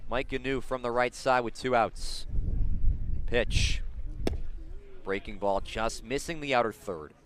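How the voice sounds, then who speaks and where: A man calling live baseball play-by-play for radio, describing the action in detail and building excitement on big plays. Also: mid-range voice, measured pace, long pauses, a little hiss or hum. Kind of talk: live radio sports commentary.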